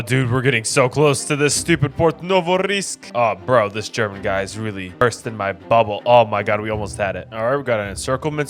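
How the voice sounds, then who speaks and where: Excitable young man doing game commentary. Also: mid-range voice. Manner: excitable, joking, slangy. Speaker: gaming YouTuber. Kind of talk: casual gameplay commentary. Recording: faint background music.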